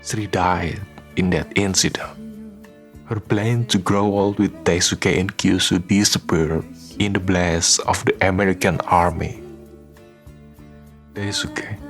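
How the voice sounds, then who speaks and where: A man's voice: deep voice, steady tone, slow. A man reading scripted narration for a radio drama. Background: music.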